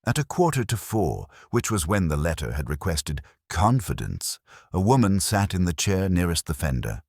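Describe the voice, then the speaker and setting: A man narrates literary fiction in a very deep voice with a husky grain. His delivery is formal and measured, with lively intonation. The sound is dry and close, free of room. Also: dry recording. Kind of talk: scripted literary audiobook narration.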